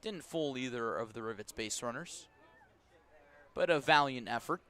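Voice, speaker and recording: A man's voice: medium pitch, lively intonation, moderate pace, pushed and bright. American man calling baseball play-by-play. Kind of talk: live radio sports commentary. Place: outdoor baseball stadium at night.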